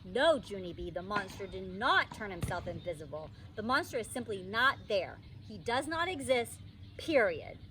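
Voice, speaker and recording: A woman acts a storybook grandmother who is patient but weary and firm. She reasons in a no-nonsense way, over clear outdoor noise. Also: medium pitch, big pitch swings, normal speed, close to an everyday voice. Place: outdoors in a park. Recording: noisy outdoor recording.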